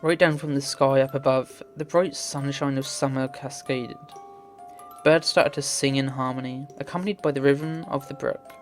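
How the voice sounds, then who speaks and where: A man's voice: medium pitch, fairly even intonation, moderate pace. A man reading visual novel text aloud in an earnest, theatrical way as a game playthrough host. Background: game music.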